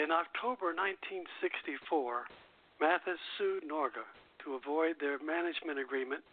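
Man reading on air, thin phone-line sound. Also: fairly high pitch. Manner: halting read-aloud, stumbles over words. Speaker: radio show host. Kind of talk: reading biographical script on radio show.

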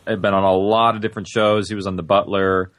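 A low-pitched male voice in a relaxed podcast interview. He rambles comfortably and fills his sentences with "like." The sound is dry and close, with almost no room around it. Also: fairly quick, dry recording. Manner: relaxed, rambling, heavy use of "like". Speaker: podcast guest, audio drama creator. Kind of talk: casual interview chat.

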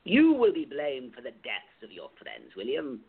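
A man playing a gravelly sneering club president. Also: medium pitch, wildly swooping pitch, normal speed, heavily acted. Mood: commanding. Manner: sneering, commanding. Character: menacing male club president, cold villain. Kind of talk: amateur radio play acting. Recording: tinny phone-like line.

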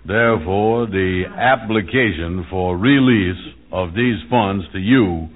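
An actor playing a gullible, lovelorn man after money, with slow, folksy, ungrammatical talk, in old-time radio comedy style. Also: deep voice. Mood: rueful. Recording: muffled 1940s-50s radio recording.

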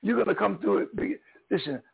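An older man, a pastor with a Southern accent, preaching an encouraging sermon in a hoarse voice, swinging between loud and soft. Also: high-pitched, lively intonation, fairly quick, narrow, tinny audio.

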